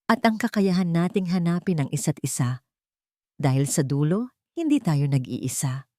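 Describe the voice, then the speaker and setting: A woman voicing a resolute, dignified woman survivor in a radio-style story, firm and clear. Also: low-pitched, sing-song, moderate pace, dark tone, no echo, clean studio sound. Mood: reflective, tender.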